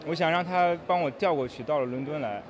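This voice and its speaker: Young male job seeker, higher-pitched, unscripted interview, crowded event background. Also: fast. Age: young adult. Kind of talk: spontaneous interview answer. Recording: loud crowd behind.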